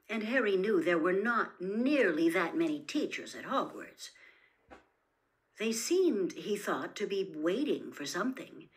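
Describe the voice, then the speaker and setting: A woman narrating a storybook expressively and dramatically, dropping into hushed, suspenseful phrasing. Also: mid-range voice. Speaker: audiobook-style reader of stories.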